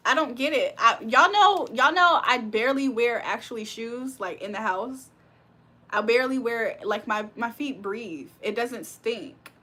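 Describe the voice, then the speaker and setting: A woman's voice: fairly high pitch, a little slow, sharp tone. A young woman from Virginia hosting a livestream, reading comments aloud and reacting with frequent laughs. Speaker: livestream host reading chat. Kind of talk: casual live chat.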